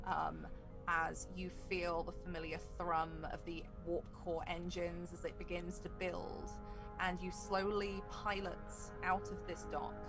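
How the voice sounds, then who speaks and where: A woman acting as game master, improvising descriptive narration for a tabletop roleplaying game. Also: fairly low pitch.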